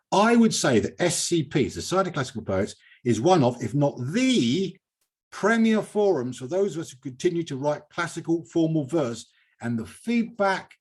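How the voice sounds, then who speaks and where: Animated British man, slightly husky. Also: medium pitch. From Britain. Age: older. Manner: chatty, digressive, emphatic repetition. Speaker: host of a poetry reading. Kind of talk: informal event hosting, reading out written replies.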